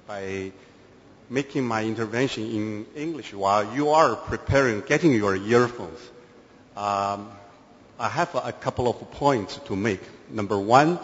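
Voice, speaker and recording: A man from China whose first language is Chinese, a government delegate giving a formal statement at a conference, measured, with many hesitations. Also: fairly low pitch, slight background noise. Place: large conference hall. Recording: echoey hall, dull lo-fi sound.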